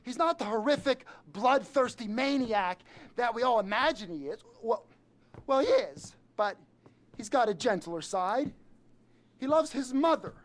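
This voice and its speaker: A man's voice: very high voice, expressive, a little slow. A man playing a jittery, fawning criminal henchman in a video game, rambling nervously and correcting himself as he goes. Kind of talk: video game cutscene acting.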